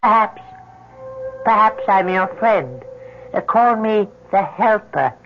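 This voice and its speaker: A man playing a small, dwarf-like supernatural tempter in a radio horror drama, measured, courteous and insinuating. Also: high voice, lively intonation, over music, heavily acted. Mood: tempting. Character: sly small dwarf-like supernatural male tempter, softly insistent. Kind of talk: old-time radio horror acting. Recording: muffled vintage radio recording.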